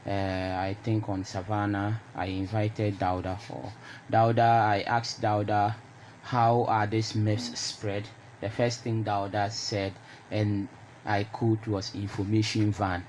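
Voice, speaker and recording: A man's voice: on the low side, little pitch movement, takes it slowly, a little mellow. A man with a Ghanaian accent speaking emphatically and explaining his views in a conversational panel discussion. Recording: noticeable background noise.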